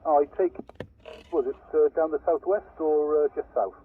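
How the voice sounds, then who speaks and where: A man with a slightly high voice chats casually over CB radio. He sounds distant, with clear noise around the signal, in the informal manner of a radio operator. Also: fairly even intonation, normal speed, phone-quality sound. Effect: heard over CB radio. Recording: distant, noisy CB radio reception.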